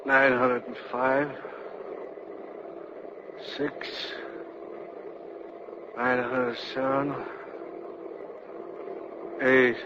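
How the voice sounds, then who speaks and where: An actor voicing a sleepy, wisecracking truck driver with an American accent and slangy 1940s radio delivery. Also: male voice, medium pitch, flat delivery, drawn-out words, pauses often. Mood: drowsy. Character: grumbling, sleepy, wisecracking married male trucker. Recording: noisy, muffled 1940s radio broadcast.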